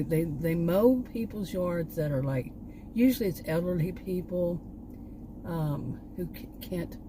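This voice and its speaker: A woman vlogger chatting casually, meandering and restarting her sentences, her voice going hoarse. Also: deep voice, noisy background. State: losing her voice. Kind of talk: rambling casual chat. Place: outdoors.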